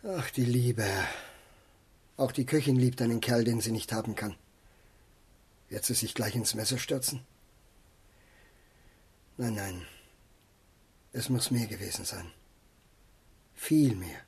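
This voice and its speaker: A gentleman's manservant in a period radio drama. The voice is middle in pitch and very raspy. He is deferential yet chatty and plain-spoken. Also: slow pace, long pauses, heavily acted. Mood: deferential, curious.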